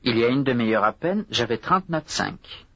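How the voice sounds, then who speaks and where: A man giving careful, measured answers in a scripted language drill, each phrase spoken clearly for learners to repeat. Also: mid-range voice, animated, sharp tone, no echo. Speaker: language course model speaker. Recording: dull lo-fi dry studio recording.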